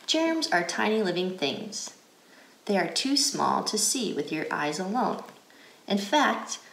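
An American woman reading a picture book aloud to children as an educator, phrasing deliberately in a guided read-aloud style. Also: mid-range voice, fairly bright tone. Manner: deliberate, clearly phrased, asks questions. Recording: roomy indoor echo.

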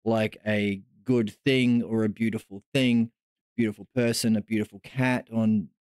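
A man's voice: lowish voice, fairly even intonation, normal speed, a little mellow, clean tone, dry recording, clean studio sound. A man taking part in an online reading group on a video call. He answers hesitantly, with many ums as he works through his thoughts. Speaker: study group participant.

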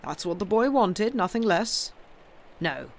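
A man voicing a stern elderly uncle in a storybook reading, gruff and blunt, lecturing a wayward nephew in old-fashioned phrases. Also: high voice, very animated, measured pace, pitched above the performer's own voice, full character voice. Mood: firm, decided. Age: older.

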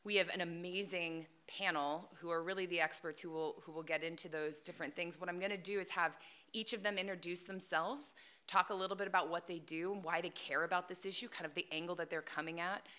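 A woman in her forties moderates a conference panel from a table mic, upbeat and conversational. Her voice is a little low but bright and forceful, carrying across the hall. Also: steady tone, brisk. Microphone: table microphone.

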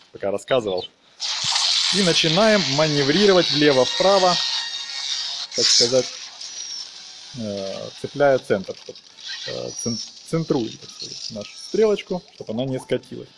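A man's voice: medium pitch, creaky. A man giving a casual, playful walkthrough commentary on a video game, chatting to the characters as he plays. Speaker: gaming video host.

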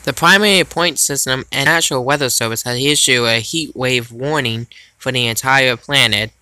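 A man's voice: medium pitch, normal speed, bright, forceful tone, dry recording. A man's robotic, stilted voice reading a formal scripted emergency broadcast announcement.

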